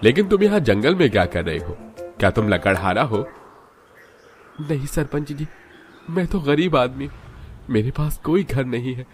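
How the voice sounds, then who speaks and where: A man acts out an earnest villager in a cartoon moral story. The pitch is average for a man and the delivery is sincere and emphatic. Light music runs underneath. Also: very animated, moderate pace, pauses often, natural voice. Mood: humble. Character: grateful village headman and humble poor young husband.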